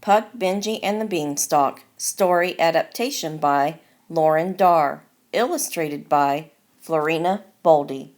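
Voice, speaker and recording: A woman reading a children's story aloud as its author, in deliberate, expressive scripted narration. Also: deep voice.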